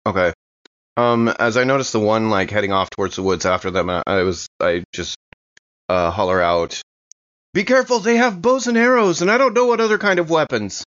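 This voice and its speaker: A man's voice: mid-range voice, very animated, a little fast, fairly bright tone, dry recording. Man, game player, casual talk, swinging intonation, slightly muffled lo-fi sound.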